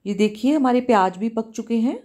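A woman cooking-channel host, giving a calm, step-by-step recipe voice-over in a home kitchen. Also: a little high, a little fast. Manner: calm, instructive, repeats steps.

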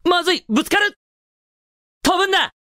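A man's voice: extremely high pitch, animated, a little fast, sharp tone, no room sound, clean studio sound, full character voice. A male actor voicing a cocky, daring young cartoon hedgehog hero in a video game, with cool, confident, casual delivery. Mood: alarmed. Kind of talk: video game voice acting, short in-game lines.

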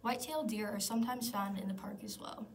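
A woman's voice: mid-range voice, fairly even intonation, fast, far from the mic, a little hiss or hum. A teenage girl narrating a school project, reading aloud steadily. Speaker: student presenter.

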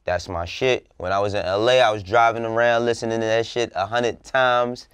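A man's voice: lowish voice, fairly even intonation, normal speed, fairly bright tone, clean tone, no echo. A young man co-hosting a studio talk show, chatting in laid-back banter and ribbing the others. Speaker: co-host. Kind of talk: casual studio panel chat. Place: TV studio set.

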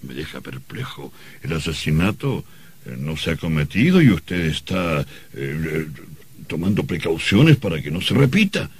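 A man's voice: rumbling low, big pitch swings, slightly rough, no room sound, heavily acted. An actor playing a perplexed, hesitant man who stammers through his questions in an old radio drama. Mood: baffled, hesitant. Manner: stammering, halting.

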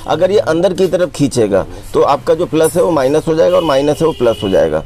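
An Indian man hosts a hands-on repair tutorial in a soft, mellow voice pitched a little high. He explains each step casually and goes back over instructions. The sound is close and dry. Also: no echo.

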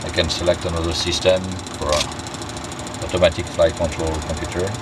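A man's voice: sits low, level tone, brisk. A male test pilot giving a methodical, step-by-step walkthrough of a helicopter cockpit, recorded on a handheld camera on an airfield. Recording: loud engine and outdoor noise.

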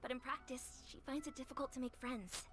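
A woman acts a friendly eatery keeper for a video game. She talks in an easygoing, neighborly, confiding way in a fairly high voice. Music plays clearly behind her. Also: fairly even intonation, fairly quick, close to an everyday voice. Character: friendly, chatty woman running a humble eatery.

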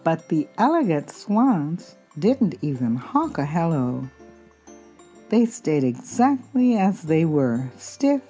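Woman reading a kids book, slow and animated, stretches key words, faint music behind. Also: low-pitched. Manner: expressive, drawn-out key words. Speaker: children's picture book reader. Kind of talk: storybook read-aloud.

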